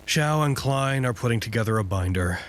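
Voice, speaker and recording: Sardonic scientist played by a man: lively intonation, articulate, dry wit, conversational podcast drama. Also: medium pitch, brisk, a little hiss or hum, lightly acted. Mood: wry, weary. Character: wry, sardonic male doctor stranded at a cut-off research site. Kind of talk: audio drama acting, conversational.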